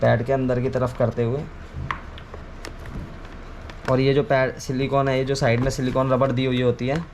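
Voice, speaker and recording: A man's voice: medium pitch, level tone, normal speed, pauses often, darkish tone. A man giving an informal hands-on tutorial, explaining things step by step and often repeating himself. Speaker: video creator giving a how-to. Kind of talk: casual hands-on tutorial chat. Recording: clearly noisy ambient background.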